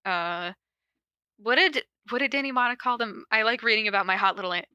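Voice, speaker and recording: Female podcast host, very bright higher voice, playful and giggly, casual delivery, dry close sound. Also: fairly quick, clean tone, dry recording. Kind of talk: casual podcast chat with reading aloud of notes.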